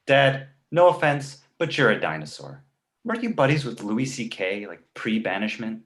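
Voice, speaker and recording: A man playing a precocious, sarcastic baby boy with a dry, mock-innocent way of needling, in an animated comedy table read. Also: on the low side, normal speed. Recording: echoey room.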